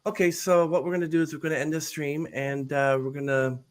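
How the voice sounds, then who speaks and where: A man hosting a podcast, asking casual questions in halting, loosely worded phrases. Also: a little high, flat delivery. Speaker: podcast host.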